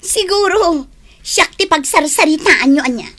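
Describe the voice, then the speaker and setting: A woman's voice: very high voice, animated, fairly bright tone, exaggerated voice. A woman playing a jealous, easily offended office worker in a Filipino radio drama, with an Ilocano accent. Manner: sarcastic, quick to take offence. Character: touchy, jealous, confrontational female office worker, Fema.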